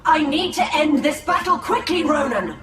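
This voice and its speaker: A woman's voice: high voice, sing-song, moderate pace. A woman playing a noble, urgent female elf leader, formal and commanding, in a dramatic game cinematic. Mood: firm, commanding. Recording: echoey, distant, loud music behind.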